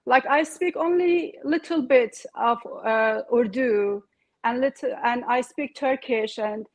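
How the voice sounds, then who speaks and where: A woman's voice: on the high side, measured pace. A woman, a real estate agent speaking as an interview guest, earnest with hesitant fillers. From Kurdish-Persian background. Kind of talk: casual interview chat.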